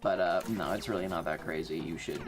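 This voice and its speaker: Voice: deep voice, fairly even intonation, brisk, music in the background. A streamer chatting casually and swearing freely while playing a game on a livestream.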